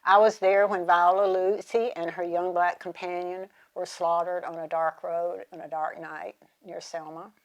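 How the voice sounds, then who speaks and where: A woman's voice: lowish voice, little pitch movement, normal speed, hardly any pauses. An American woman in her seventies or older, from the South, giving reflective personal testimony in articulate, formal wording. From southern United States. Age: 70s or older.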